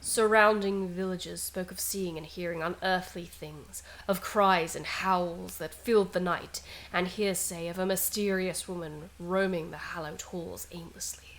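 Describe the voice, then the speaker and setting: Lowish voiced woman doing a game stream, casual chat plus dramatic read-alouds. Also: fairly even intonation, a little slow, few pauses, a little hiss or hum, rough recording.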